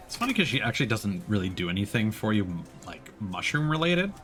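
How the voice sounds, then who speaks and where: A man with a fairly low voice gives casual live commentary on a video game stream. Game music plays clearly behind him. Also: big pitch swings, brisk, fairly bright tone.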